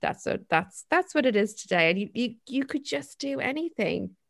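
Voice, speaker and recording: Woman co-host, fairly high voice, dry close sound, enthusiastic, lots of "like". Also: lively intonation, moderate pace, gravelly, no echo. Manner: enthusiastic, effusive, many "like" fillers. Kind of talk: casual conversational interview.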